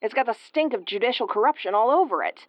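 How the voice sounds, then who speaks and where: A woman's voice: fairly high pitch, animated, quick pace, dry recording, lo-fi sound, natural voice. A woman playing a tough, prickly but secretly caring tsundere starship commander in an intimate audio roleplay, brusque and prone to stammering when flustered. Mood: shocked, worried.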